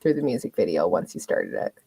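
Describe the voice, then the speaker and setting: A woman's voice: very deep voice, huge pitch swings, brisk, vocal fry, mellow, breathy edge, no echo. A woman, interviewing in a casual, informal way as a podcast host.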